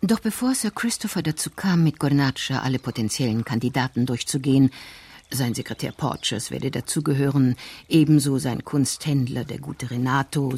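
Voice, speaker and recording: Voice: mid-range voice, darkish tone, breathy edge. A narrator reading the scripted prose of a crime story in a radio drama.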